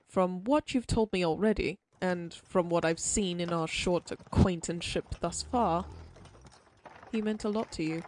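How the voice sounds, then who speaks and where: A woman's voice: fairly low pitch, a little mellow, a little hiss or hum. A woman voicing a prim, sympathetic frontier lawyer in an audio roleplay, speaking formally with old-fashioned phrasing.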